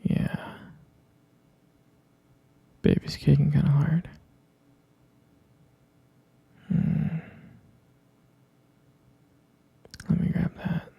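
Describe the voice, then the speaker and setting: Male actor as tender boyfriend for ASMR roleplay: breathy whisper, heavy gravelly creak, gentle and hesitant. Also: a little high, no echo, muffled recording, exaggerated voice. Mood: soft, caring. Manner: slow, gentle, hesitant, pausing and repeating. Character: tender, devoted boyfriend soothing his pregnant partner.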